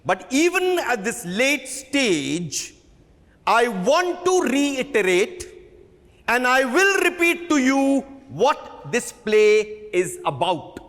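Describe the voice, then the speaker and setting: A man with an Indian accent playing a fiery, imperious theatre director in a declamatory stage reading, pausing dramatically and stressing key words. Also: very high voice, very animated, fairly bright tone, exaggerated voice. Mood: stern, outraged. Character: fiery, imperious male theatre director haranguing his actors. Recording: reverberant hall.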